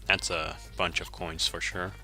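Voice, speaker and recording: Male game streamer, Japanese first language; deep, very bright and forceful tone, relaxed self-correcting chatter over faint game music. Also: measured pace. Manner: easygoing, chuckles often, self-correcting. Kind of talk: casual gameplay commentary, reading chat.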